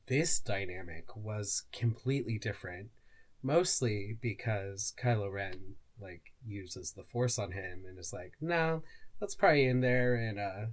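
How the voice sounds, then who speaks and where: A podcast co-host chatting casually about stories, phrasing things loosely with lots of "like". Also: mid-range voice. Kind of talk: casual chat discussing fan fiction.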